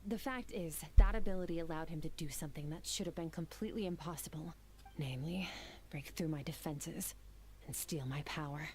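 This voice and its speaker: A woman voicing a composed, aloof young female warrior in a video game, speaking in a calm, dry, commanding way. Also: sits low, a little fast, a little hiss or hum, natural voice. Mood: cold.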